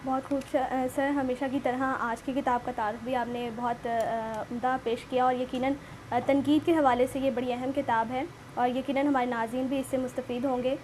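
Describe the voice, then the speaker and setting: Female literary show host, high voice, polite and formal, with some noise behind her. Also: level tone, moderate pace, a little mellow. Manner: polite, deferential, appreciative. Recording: noisy background.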